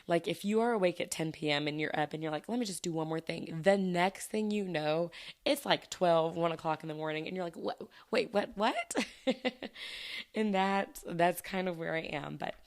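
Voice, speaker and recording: Hoarse, giggly woman podcast host. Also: moderate pace. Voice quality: low scratchy voice. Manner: chatty, giggly, self-deprecating. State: hoarse from allergies. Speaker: teacher. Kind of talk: casual solo podcast chat.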